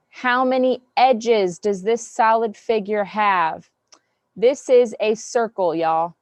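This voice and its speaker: Woman teacher, slow patient delivery, dry close video-call sound. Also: mid-range voice, dry recording. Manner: patient, step-by-step counting aloud. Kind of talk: online math class review.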